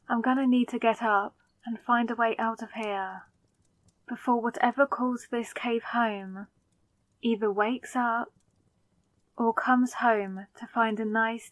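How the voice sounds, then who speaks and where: A bewildered, sarcastic young woman who has just become a vampire, played by a voice actress, in a dramatic audio roleplay. Her voice sits a little high and she talks slowly, muttering to herself in exasperation. The recording is close and dry. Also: no room sound. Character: confused hungover young woman newly turned vampire, wary and sarcastic.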